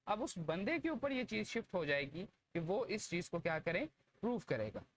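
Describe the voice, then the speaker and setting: Pakistani legal lecturer, mid-pitched, explanatory, code-switching into English terms, slightly noisy recording. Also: fairly bright tone. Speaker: law lecturer.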